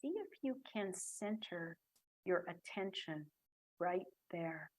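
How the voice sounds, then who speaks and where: A woman guiding a breathing meditation in a soft, calm voice with long pauses. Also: lowish voice. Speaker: meditation guide. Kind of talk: guided meditation.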